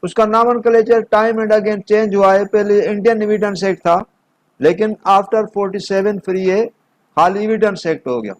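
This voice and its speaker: Slow, high-pitched Pakistani man lecturing. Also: poor audio. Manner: methodical, explanatory, switching between two languages. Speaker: law lecturer. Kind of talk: instructional lecture mixing explanation with read-out notes.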